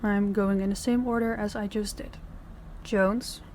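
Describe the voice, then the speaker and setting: A woman's voice: mid-range voice, fairly even intonation, a little slow, darkish tone, slight background noise, natural voice. A woman playing a stern crime boss in an audio roleplay, speaking in a commanding, businesslike way. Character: stern female crime boss running her department heads. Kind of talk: scripted audio roleplay acting.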